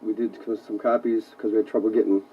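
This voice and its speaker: An American man in a casual radio interview as a band's guitarist. He speaks quickly in a low, flat voice and rambles with plenty of "you know". He sounds away from the mic on a lo-fi aircheck tape. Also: breathy edge, recorded from a distance. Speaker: rock guitarist being interviewed.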